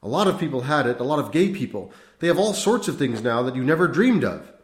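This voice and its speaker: A man's voice: medium pitch, expressive, brisk, a little mellow, lightly acted. A man voicing an agitated, unhinged middle-aged priest who rambles feverishly and insistently in an audiobook. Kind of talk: audiobook character voice. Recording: roomy echo.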